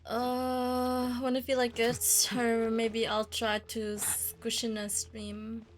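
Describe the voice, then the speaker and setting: A woman's voice: a little high, monotone, slow. A woman livestreamer chatting casually while playing a game on stream. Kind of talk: casual gameplay stream chat, reading dialogue aloud.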